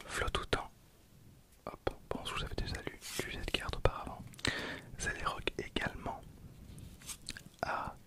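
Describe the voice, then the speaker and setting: A man speaks in a breathy, unvoiced whisper, giving informal ASMR commentary. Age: 20s. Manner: informal, murmured running commentary. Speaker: ASMR video creator. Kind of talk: whispered ASMR with casual commentary on trading cards.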